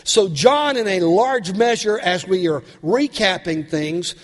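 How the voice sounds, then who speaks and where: A man delivers a sermon with a voice worn raspy by a cold, his pitch sitting fairly high for a man. He keeps turning to the congregation with earnest questions. The long reverb of a church hall trails every phrase. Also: animated, a little slow. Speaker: pastor.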